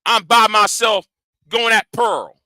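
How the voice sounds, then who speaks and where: A man with a high, piercing, tense voice launches into a confrontational rant on his own channel, swearing and taunting his listeners. He keeps repeating words and restarting phrases as he pushes his point. The sound is dry and close, with no room echo. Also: slow pace, pushed and bright. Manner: combative, profane, taunting. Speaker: channel host.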